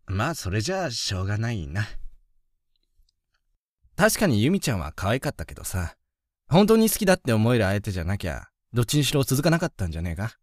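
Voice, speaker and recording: An actor playing a hot-blooded, friendly high-school boy, rough-spoken and easily flustered, in a youth romantic-comedy voice drama. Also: fast talker, dry recording, studio quality. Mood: reassuring. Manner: rough casual speech, excitable, blustering.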